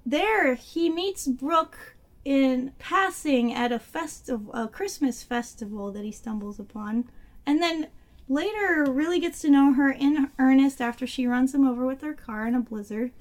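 A woman with a high voice that has gone a little hoarse, hosting a relaxed movie review chat. She keeps breaking into giggles as she runs through the plot. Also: unhurried. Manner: giggly, laughs while summarizing. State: hoarse, losing her voice. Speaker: podcast co-host.

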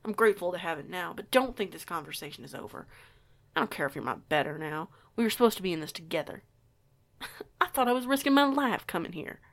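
A woman's voice: lowish voice, animated, fast talker. A woman playing a young werewolf outsider in an audio roleplay, stammering, sweary and emphatic. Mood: exasperated, hurt. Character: young female werewolf outsider, flustered, foul-mouthed, fiery.

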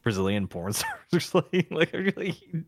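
A man co-hosting a podcast in casual chat, deadpan and rambling, with a lot of "like" and "uh". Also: a little high, a little fast, dry recording, studio quality. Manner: deadpan, rambling, lots of fillers. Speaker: podcast co-host.

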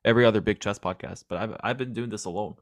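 A man's voice: lowish voice, rapid-fire, dry recording, clean studio sound. A young man with a nasal voice hosting a chess podcast, joking and self-deprecating in casual chat. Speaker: podcast host.

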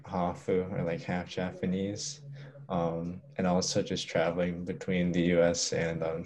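A man's voice: low-pitched, a little mellow. A young man as an interview guest, talking casually and hesitantly with many fillers and restarts.

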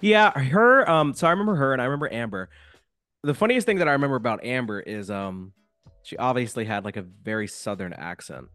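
A young man hosting a casual podcast chat, joking and animated, with lots of fillers. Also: mid-range voice, a little fast, no echo, studio quality, soft background music. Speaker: podcast host.

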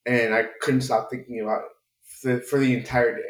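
A man's voice: mid-range voice, level tone, brisk, echoey room, rough recording. A man from San Francisco chatting casually around a dining table, rambling and searching for his words, laughing easily. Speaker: guest. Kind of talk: casual group chat. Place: home dining room.

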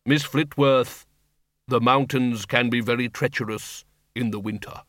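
A man doing a grave, slow-spoken stranger. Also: medium pitch, fairly even intonation, a bit bright, dry recording, lightly acted. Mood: formal. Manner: slow, formal, measured. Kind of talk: audiobook character voice.

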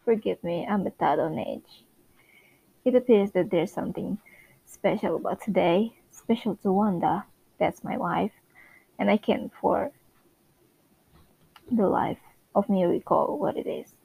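A woman's voice: medium pitch, unhurried, creaky, pauses often, husky, no echo, phone-quality sound. A woman playing a polite, flustered male android office worker who speaks in formal, pedantic phrases. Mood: worried.

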